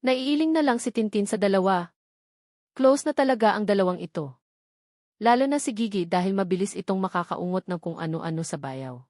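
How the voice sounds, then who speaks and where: A woman's voice: medium pitch, lively intonation, a little fast, darkish tone, dry recording, clean studio sound. A woman reading scripted story narration as an audiobook narrator. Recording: music playing behind the voice. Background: background music.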